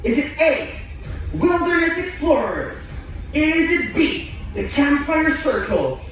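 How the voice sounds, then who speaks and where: High-voiced young male quiz host, very echoey. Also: sing-song, slow pace, a bit bright, far from the mic. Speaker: trivia game show host. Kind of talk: stage quiz hosting. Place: cruise ship lounge stage. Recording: echoey stage PA from afar, music.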